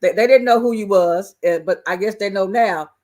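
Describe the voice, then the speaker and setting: A man co-hosting a casual talk show, hoarse and losing his voice, speaking in an easygoing, rambling way with many fillers. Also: high-pitched, normal speed, darkish tone. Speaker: co-host. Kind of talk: casual chat.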